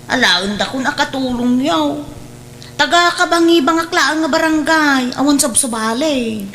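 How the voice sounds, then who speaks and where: Cheeky housemaid, Ilocano Filipino accent, swooping intonation, piercing forceful tone, whiny back-talk, radio drama. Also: female voice, high-pitched, animated, normal speed, a little hiss or hum. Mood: eager, ingratiating. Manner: sassy, whiny, exaggerated delivery. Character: brash, lazy, thieving young housemaid who talks back. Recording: long hall reverb.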